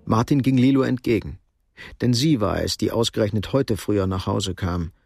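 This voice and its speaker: An actor narrating a Cold War thriller radio drama in a sober, measured, literary manner. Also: male voice, fairly low pitch, gravelly, a little mellow, dry recording, clean studio sound. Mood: sober, suspenseful. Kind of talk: scripted narration.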